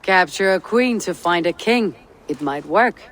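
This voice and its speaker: An actress playing a stern, battle-hardened female Viking raider in a video game, with a low, gruff voice and terse delivery. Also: lively intonation, measured pace, fairly bright tone. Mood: resolute. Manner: terse, commanding. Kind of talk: dramatic video game acting.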